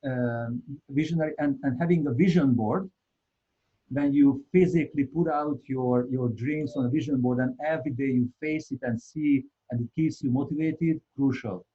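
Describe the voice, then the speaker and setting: A man with a Hungarian accent presenting a live webinar, talking earnestly and haltingly with many repeated words. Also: medium pitch, husky, lo-fi sound.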